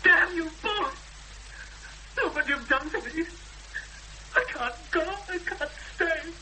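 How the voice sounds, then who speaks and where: An anguished, self-pitying hermit in a vintage British radio drama. The voice is piercing and swoops about as he protests haltingly. Faint hum and hiss sit on the recording. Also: extremely high pitch, expressive, sharp tone, full character voice. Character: beaten, bitter recluse man, anguished and self-pitying. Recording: faintly noisy, humming old radio broadcast.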